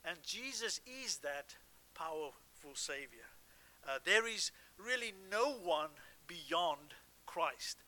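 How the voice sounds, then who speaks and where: A man with a South African accent preaching a sermon as a guest pastor, earnest and halting, with frequent restarts and fillers. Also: fairly high pitch, lively intonation, sharp tone.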